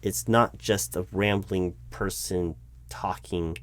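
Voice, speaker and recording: A man playing an exasperated corporate hiring manager in a one-sided interview roleplay, talking in a rambling, halting way with stammers and self-corrections. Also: low-pitched, steady tone. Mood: incredulous, explaining. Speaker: roleplay performer. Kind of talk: improvised one-sided job interview roleplay, spoken aloud.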